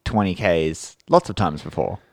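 A man co-hosting a casual podcast chat, a little hoarse from a fading cold, chatty and quick to laugh. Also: low-pitched, darkish tone, no echo, clean studio sound. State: hoarse, recovering voice. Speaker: podcast co-host.

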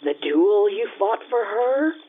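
A woman's voice: medium pitch, lively intonation, slow. A woman playing a brash, sassy young dancer with slangy delivery in old-time radio drama, through a vintage radio filter. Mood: sassy, vain. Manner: brassy, slangy. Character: brash young Roman dancing girl, sassy and vain. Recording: tinny vintage radio sound.

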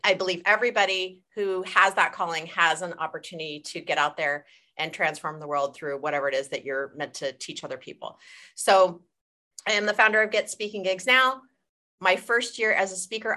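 Bright, earnest woman speaking coach. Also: on the low side, a little fast. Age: 40s. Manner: earnest and encouraging, self-deprecating humor. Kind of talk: guest self-introduction on a call.

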